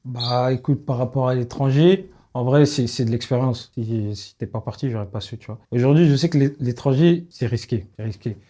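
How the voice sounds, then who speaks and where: A young man, a footballer, answering interview questions in relaxed, plain street talk. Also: mid-range voice, moderate pace, few pauses. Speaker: footballer guest. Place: outdoors in a neighbourhood.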